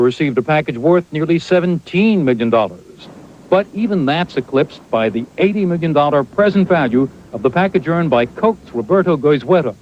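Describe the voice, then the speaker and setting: A man's voice: a little high, darkish tone, a little hiss or hum. A man narrating a news report with wry, punchy phrasing. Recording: muffled old TV broadcast.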